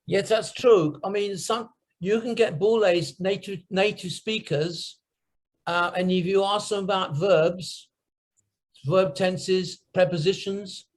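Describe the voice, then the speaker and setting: A man with a notably high voice explains things patiently to a class over a video call. He repeats and lists his points and keeps checking that everyone follows. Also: fairly even intonation, slow. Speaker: guest English teacher. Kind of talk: explaining to a class in an online lesson.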